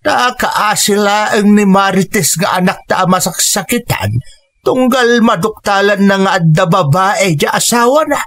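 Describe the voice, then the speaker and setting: A man's voice: high voice, unhurried, a bit bright. Concerned older father. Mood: disapproving. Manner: gossipy, disapproving of unfaithful men. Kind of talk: radio drama acting.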